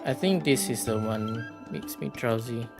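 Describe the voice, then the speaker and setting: A game livestreamer chatting casually, rambling and halting while thinking aloud. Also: mid-range voice, music in the background. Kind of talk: casual gaming stream chat.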